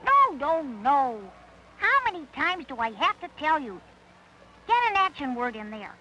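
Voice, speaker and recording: A woman's voice: sits high, sing-song, unhurried, sharp tone, a little hiss or hum, exaggerated voice, poor audio. A woman playing a bossy, exacting cartoon parade director who gives orders and coaches with exasperation. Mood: exasperated.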